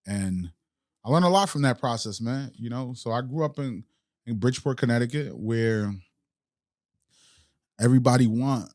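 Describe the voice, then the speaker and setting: A man's voice: medium pitch, normal speed, long pauses, darkish tone, no room sound, clean studio sound. Reflective man in casual talk. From Haiti. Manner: reflective, street slang, many restarts. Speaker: podcast guest, entrepreneur. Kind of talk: casual interview chat.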